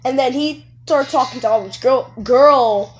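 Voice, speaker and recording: High-voiced woman telling rambling casual stories. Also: unhurried, husky, faint music behind. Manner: animated, digressive, self-correcting. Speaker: video creator telling stories. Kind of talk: casual rambling storytelling to viewers.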